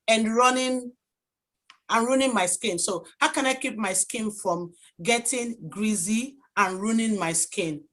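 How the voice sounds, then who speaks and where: A woman informally moderating an online workshop as host, speaking in a halting, distracted way on a video call. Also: on the high side, fairly bright tone.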